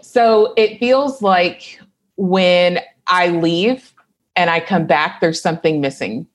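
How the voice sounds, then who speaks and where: A woman entrepreneur from Kentucky, a guest on a podcast, who speaks in a fairly low voice. She thinks aloud as she answers and often pauses on "um" and restarts her sentences. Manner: reflective, thinks aloud with fillers. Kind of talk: casual interview talk.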